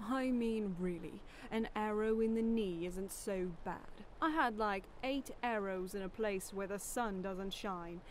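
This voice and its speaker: A woman plays a female elf necromancer from a video game, sardonic and boastful in a conversational way. Her voice sits at a medium pitch, with faint noise behind it. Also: measured pace, close to an everyday voice. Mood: wry bragging. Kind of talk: video game character dialogue.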